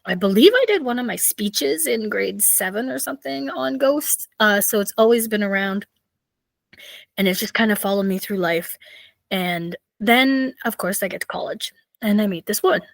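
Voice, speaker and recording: Woman, raspy, thirties, podcast host, rambling chatty storytelling, mid pitch. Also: expressive, normal speed, no echo. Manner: chatty, rambling, with many fillers. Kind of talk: casual solo storytelling.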